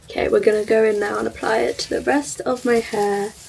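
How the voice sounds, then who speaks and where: A young woman from the UK chatting casually to camera as a vlogger, her voice a little croaky from being ill, self-deprecating and thinking aloud as she works. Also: on the high side, little pitch movement, fairly quick, darkish tone, recorded from a distance, a little hiss or hum, poor audio. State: slightly croaky from illness. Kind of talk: casual vlog talk to camera. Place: home, in front of a mirror.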